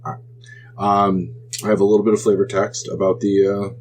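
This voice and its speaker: A man with a fairly low voice serves as game master at a tabletop session. He describes scenes and rules in a halting, explanatory way. Faint noise and hum sit behind his voice. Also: little pitch movement, darkish tone. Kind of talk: narrating a tabletop role-playing game.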